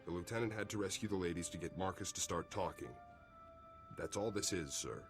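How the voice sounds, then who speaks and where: A deferential captain in a sci-fi game. His voice is deep and plainspoken, with music clearly behind it. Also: brisk. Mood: defensive. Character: deferential, easygoing military captain. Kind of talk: video game voice acting.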